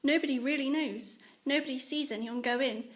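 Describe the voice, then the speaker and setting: A woman's voice: high-pitched, a little fast, lightly acted. A woman voicing a persistent, upbeat young girl sea creature in a children's audiobook, with a light, casual delivery. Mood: earnest, explaining. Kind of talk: children's audiobook character acting.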